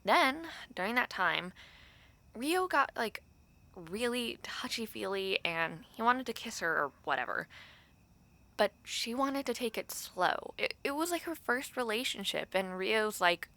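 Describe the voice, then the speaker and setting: A woman's voice: a little high, very animated, bright, forceful tone, heavily acted. A young woman playing a gossipy, teasing university dormmate and close friend, chatting casually to the listener in an audio roleplay. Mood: gossipy, exasperated. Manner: conversational, gossipy, pausing with filler words.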